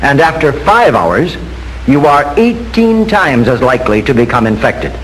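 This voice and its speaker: An American man reads a scripted 1940s instructional film narration in a formal, measured, instructive manner. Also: mid-range voice, very animated. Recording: noisy 1940s film soundtrack with hiss.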